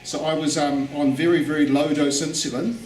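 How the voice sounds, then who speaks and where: A man's voice: fairly high pitch, a little fast. New man speaking in an echoey hall. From New Zealand. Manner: relaxed, repeats words for emphasis, chuckles. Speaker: guest speaker. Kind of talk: conference talk with interpreter. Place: conference hall. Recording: echoey hall, distant mic, faint noise.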